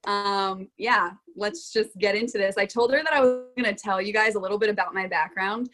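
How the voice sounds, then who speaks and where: A woman's voice: mid-range voice, brisk, fairly bright tone. A young woman from Florida, a guest on a casual podcast interview, talking in a bubbly, chatty way and laughing often. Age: young adult.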